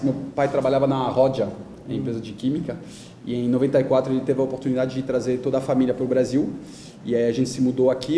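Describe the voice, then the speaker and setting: A man in his thirties with a French accent and a very mellow, dark tone. He talks conversationally as an interview guest, checking in with listeners. The room is echoey, with faint noise behind him. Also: mid-range voice. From France. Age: 30s. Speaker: guest speaker, digital media company executive. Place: indoor event talk before an audience.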